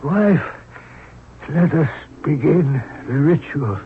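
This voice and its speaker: An actor playing a dying Egyptian pharaoh, solemn and halting, in a 1970s radio drama. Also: male voice, fairly high pitch, expressive, background noise. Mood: solemn, resigned. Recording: muffled old radio broadcast, faint music.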